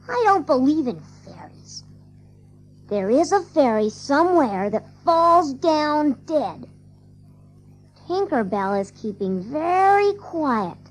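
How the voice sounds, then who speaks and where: A woman's voice: sits high, exaggerated voice. A woman playing a cocky, boastful little boy with a childlike manner in an audio drama of a storybook. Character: cocky, boastful ageless little boy who can fly.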